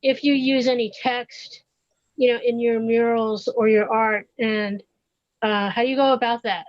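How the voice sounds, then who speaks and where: Woman, fairly high voice, poet, halting, dry close sound, dull audio. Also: fairly even intonation, dry recording. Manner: halting, searching for words. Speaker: poet, audience questioner. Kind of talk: asking a question.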